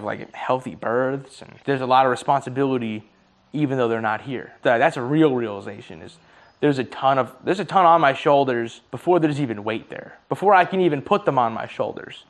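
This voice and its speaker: A man in his early twenties musing aloud in a casual monologue, swearing freely and laughing at his own slips, his voice starting to tire. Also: mid-range voice. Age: 20s. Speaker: vlogger.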